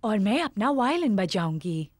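Kind cartoon pig mum: mid-pitched, lively melody, soothing and encouraging. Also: female voice, normal speed, no echo, studio quality. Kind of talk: Hindi cartoon dub acting.

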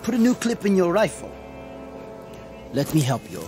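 A man's voice: sits high, big pitch swings, normal speed, over music. An actor in a radio drama playing a dutiful army sergeant, deferential to his officers and scornful of the enemy. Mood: matter-of-fact, scornful.

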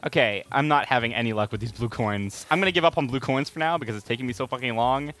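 A man's voice: mid-range voice, fast, a bit bright. A man doing casual gaming commentary, joking, teasing and swearing freely. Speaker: let's play host.

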